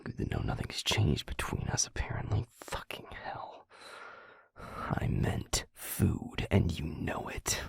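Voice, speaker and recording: Man acting a husky, whispery gruff werewolf boyfriend. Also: extremely low pitch, sing-song, no room sound, full character voice. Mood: grumbling, fond. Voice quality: breathy, husky, gravelly. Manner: hushed, halting, grumbling affectionate swearing. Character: gruff, tsundere young male werewolf boyfriend, flustered but tender. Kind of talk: intimate boyfriend audio roleplay.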